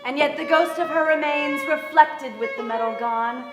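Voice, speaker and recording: Young female stage reader, fairly high voice, scripted dramatic delivery, roomy sound. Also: normal speed, music in the background. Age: young adult. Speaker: actor in a staged reading. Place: theatre stage before an audience. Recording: roomy theatre, faint background noise.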